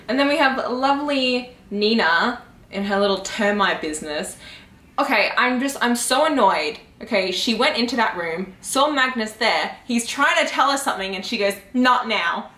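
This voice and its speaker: A young adult woman chatting casually about books in an expressive, informal way. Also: a little high, moderate pace. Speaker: book vlogger. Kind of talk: casual chat. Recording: echoey room, audible background noise.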